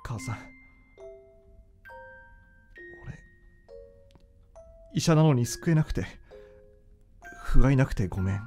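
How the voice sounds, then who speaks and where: Actor as an earnest young son, wide-swinging intonation. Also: male voice, medium pitch, animated, a little fast, dark tone, faint music behind, natural voice. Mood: solemn, grateful. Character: earnest young doctor son, anguished and intense. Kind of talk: Japanese radio drama acting.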